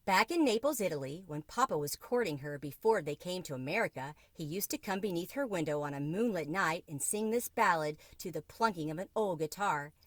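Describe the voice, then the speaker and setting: Low-pitched woman reading a children's book. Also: animated, fairly quick, hardly any pauses, no echo, clean studio sound. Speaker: read-aloud storyteller.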